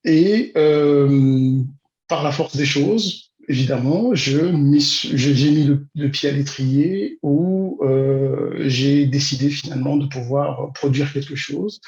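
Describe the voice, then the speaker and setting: A man speaks as an interview guest. His voice sits fairly high, and he picks his words slowly and hesitantly. The sound is muffled, with clear room echo. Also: darkish tone. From Congo-Brazzaville. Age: 40s. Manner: hesitant, measured, with long drawn-out pauses. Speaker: interview guest, immigration consultant and teacher. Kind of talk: conversational interview answers. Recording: dull, roomy, echoing sound.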